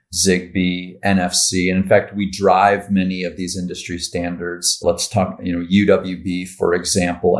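A man speaks as a marketing director on a podcast, measured and businesslike, working through lists of technical terms. His voice sits low. His pitch barely moves. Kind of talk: podcast interview answers.

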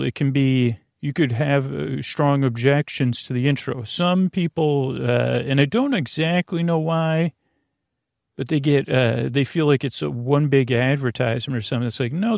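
Male podcast host, gravelly creaky voice, dry close sound, meandering goofy bedtime chatter. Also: mid-range voice, darkish tone, dry recording. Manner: meandering, frequently trailing off and circling back; silly, goofy. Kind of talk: rambling bedtime podcast introduction.

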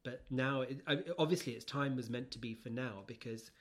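A man co-hosting a podcast, chatting casually and teasingly about pop culture, wandering off on tangents in an animated way. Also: mid-range voice, a little fast. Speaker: podcast host.

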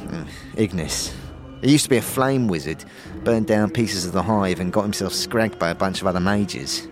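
Casting: a man as a talkative slum innkeeper for fantasy radio play, low register, folksy slang, hesitant asides. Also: moderate pace, faint music behind, close to an everyday voice. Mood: confiding, chatty. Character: gruff, chatty male tavern keeper in a fantasy slum. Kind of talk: fantasy audio drama acting.

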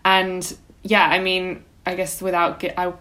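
A young woman with a slightly low-pitched voice, co-hosting a podcast. She talks about books in a casual, tentative way, peppering her sentences with "like". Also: completely flat delivery, unhurried, pushed and bright. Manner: informal, tentative, frequent "like". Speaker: podcast co-host. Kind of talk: casual book discussion.

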